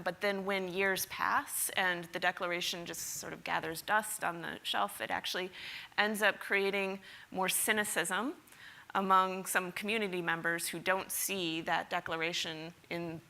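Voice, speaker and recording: A woman's voice: fairly low pitch, creaky, bright, forceful tone. A woman on a panel answering a question thoughtfully, with some fillers. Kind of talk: panel discussion.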